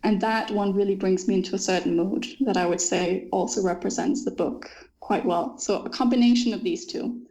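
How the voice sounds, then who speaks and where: A young woman, a native Danish speaker, talking as an author guest in an interview, thoughtful and often laughing, pausing with ums. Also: medium pitch, steady tone, vocal fry, mellow, poor audio. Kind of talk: interview conversation.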